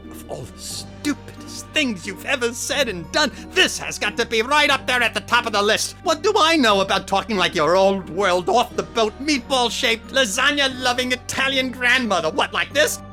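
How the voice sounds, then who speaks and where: A high-pitched, very animated reluctant hero in a comedy audio drama. He is vain, sarcastic and wordy, theatrically flustered, with music behind him. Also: normal speed, few pauses, full character voice. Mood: exasperated.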